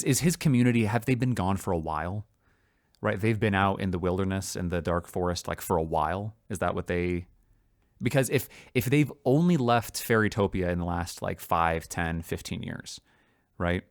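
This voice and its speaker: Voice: low-pitched, no echo, studio quality. A podcast co-host in a casual creative brainstorming chat, talking enthusiastically and often doubling back on words.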